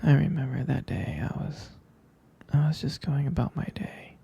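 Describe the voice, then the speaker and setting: A man speaking in a breathy, husky whisper, tender, soothing and halting, as a boyfriend in a bedtime ASMR roleplay, close to the microphone. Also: medium pitch, lively intonation, warm tone, lo-fi sound. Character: tender, protective boyfriend lulling partner to sleep.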